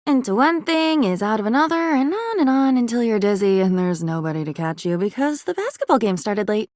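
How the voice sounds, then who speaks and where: Actress as unhinged video game woman, high-pitched, disjointed rambling, dreamy yet volatile, very dry close recording. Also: animated, a little fast, darkish tone, dry recording, close to an everyday voice. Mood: manic musing. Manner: disjointed rambling, swinging between wistful and agitated. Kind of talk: video game character voice acting.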